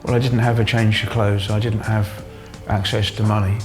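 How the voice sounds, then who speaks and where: A man giving unscripted interview testimony, halting and repeating words as he goes. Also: on the low side, little pitch movement, music in the background. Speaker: interviewee, former detained patient.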